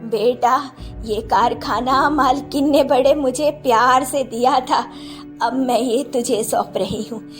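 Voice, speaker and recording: A woman plays a loving, frail old mother in a cartoon tale. She speaks slowly and wearily, stretching her words with a tender, pleading tone. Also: sits high, soft background music. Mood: tender, weary. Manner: slow, drawn-out, tender. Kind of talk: cartoon story voice acting.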